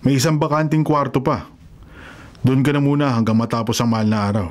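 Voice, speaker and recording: A man's voice: medium pitch, fairly even intonation, fairly quick, close to an everyday voice. Kindly, devout Filipino carpenter grandfather played by a man. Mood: warm, hospitable. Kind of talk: radio drama acting.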